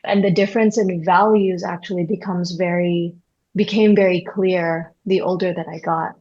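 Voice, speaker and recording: A woman's voice: on the low side, steady tone, moderate pace, a little mellow, dull audio. A young adult woman from Indonesia, a therapist hosting a podcast, talking through ideas in a reflective way and often checking in with "right?". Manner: thoughtful, searching, with many fillers and "right?". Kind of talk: reflective conversational interview.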